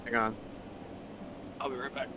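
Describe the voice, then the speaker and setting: A man's voice: medium pitch, level tone, normal speed, background noise. A man bantering casually as a co-commentator in a voice chat.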